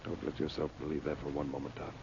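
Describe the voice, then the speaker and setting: An actor playing an earnest, determined mystic adventurer in an old-time radio serial. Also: male voice, extremely low pitch, little pitch movement, fast, creaky, darkish tone. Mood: earnest, reassuring. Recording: dull, noisy vintage radio recording.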